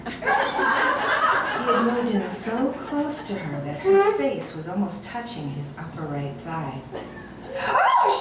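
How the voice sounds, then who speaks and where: Amused woman reading a comic story. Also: mid-range voice. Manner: wry, amused storytelling, often laughing. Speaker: narrator reading a short story. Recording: echoey room, distant mic, noisy.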